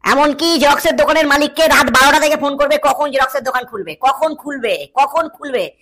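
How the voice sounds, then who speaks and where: A woman's voice: high voice, a little fast, fairly bright tone. A woman voicing a cheeky, dramatic cartoon schoolboy, giving a theatrical comic lecture. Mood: heated, mocking.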